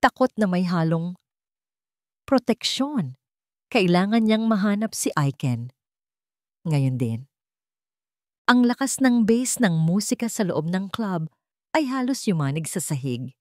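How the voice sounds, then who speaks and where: A woman's voice: fairly low pitch, darkish tone, no echo, clean studio sound. A woman with a Filipino accent narrating a scripted love drama with dramatic, emphatic phrasing. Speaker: storyteller.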